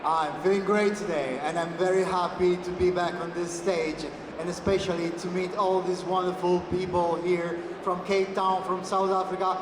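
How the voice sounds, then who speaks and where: A man with a high voice addresses a convention audience from a stage. The sound is echoey, with the long reverb of a big hall around him. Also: little pitch movement, normal speed, few pauses. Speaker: guest cosplayer on stage. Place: large indoor convention stage. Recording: echoey hall PA, ambient noise.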